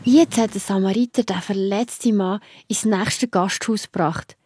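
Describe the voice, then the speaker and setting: A woman's voice: medium pitch, a little slow, a little mellow, a little hiss or hum. A woman with a Swiss German accent narrating a scripted story for children, speaking directly to the listener.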